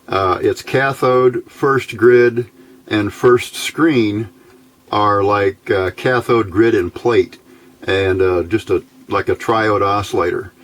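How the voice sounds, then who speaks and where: A man giving an informal hands-on technical explanation as an electronics hobbyist, in a plainspoken, folksy, methodical way. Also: lowish voice.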